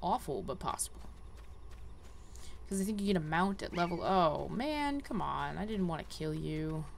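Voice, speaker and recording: A woman's voice: on the low side, animated, moderate pace, creaky, slight background noise. A woman giving casual let's-play commentary as a gaming host, rambling and easily sidetracked.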